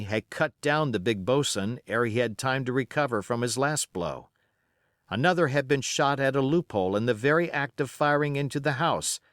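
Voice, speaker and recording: A man narrating an adventure novel as an audiobook, in an expressive, measured, dramatic storytelling style. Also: mid-range voice, dry recording, studio quality.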